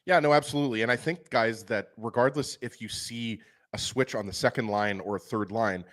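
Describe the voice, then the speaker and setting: A man's voice: mid-range voice, moderate pace, a bit bright. A man co-hosting a hockey talk show, speaking emphatically and addressing his co-hosts directly with "right?". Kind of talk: casual sports talk.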